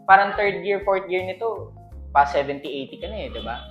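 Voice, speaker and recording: A man's voice: sits high, lively intonation, normal speed, highs cut off. A man with a Filipino accent chatting casually as a podcast co-host, slipping into English. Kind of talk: casual chat, mixing in English. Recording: roomy echo, music underneath.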